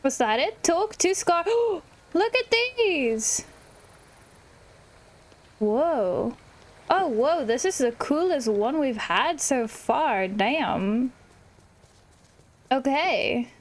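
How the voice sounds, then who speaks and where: Woman, gaming video host, slightly high pitch, slow delivery, casual chat, excitable exclamations. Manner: excitable, exclamatory, chuckles at herself. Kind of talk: casual let's-play chat, reading game dialogue aloud.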